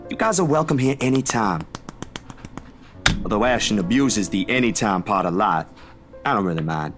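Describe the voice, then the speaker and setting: An actor playing an easygoing, friendly young man in a visual novel, talking in casual, expressive slang. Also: fairly low pitch, moderate pace, soft background music. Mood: friendly, reassuring.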